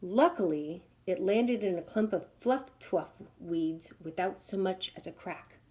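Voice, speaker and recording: A woman's voice: fairly low pitch, a little slow, a little mellow. Woman reading a children's story expressively.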